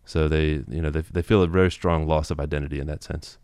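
A man guest in an interview, explaining at length in a relaxed way with many "you know"s and restarts. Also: rumbling low, dry recording, studio quality. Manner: quick, thoughtful, full of "you know" and restarts.

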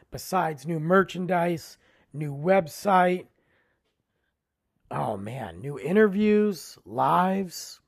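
A man hosting his own podcast in a casual, rambling way, tired and losing his voice, often trailing low at the ends of phrases. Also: gravelly, husky. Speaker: podcast host. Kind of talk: casual solo podcast intro.

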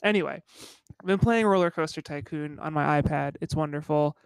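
A man's voice: on the high side, fairly quick, vocal fry, clear, resonant tone, no echo. A man co-hosting a podcast in casual chat, congested and stuffy-nosed, rambling with lots of "like". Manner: rambling, self-deprecating, frequent "like". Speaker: podcast co-host.

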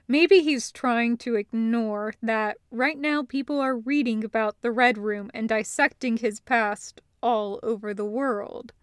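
Unhurried woman narrating fiction. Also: a little high, smooth, dry recording, clean studio sound. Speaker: audiobook narrator. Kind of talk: scripted fiction narration, measured.

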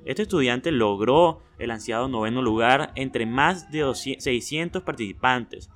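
A young man with an ordinary mid-range voice reads school news announcements for a student podcast. He keeps a plain, steady broadcast delivery. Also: medium pitch, normal speed. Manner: reads news announcements, then a loud energetic intro.

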